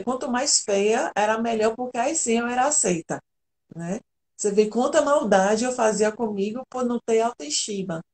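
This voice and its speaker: A woman from Argentina speaks as a guest in a live online interview, her voice carrying a noticeable gravelly fry. She tells her own story in an earnest, open way, with a pitch that sits in the middle for a woman. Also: darkish tone. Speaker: guest, integrative therapist.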